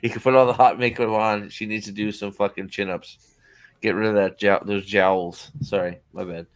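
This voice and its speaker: A man's voice: fairly low pitch, little pitch movement, moderate pace. Blunt, profane man rambling. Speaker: show host. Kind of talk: casual reaction commentary.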